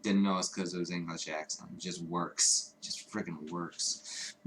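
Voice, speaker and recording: A man's voice: low-pitched, sing-song, unhurried, slight background noise. Profane rambling male livestream co-host. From Chicago, United States. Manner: rambling, profane, laughs often. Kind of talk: casual chat. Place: home streaming studio.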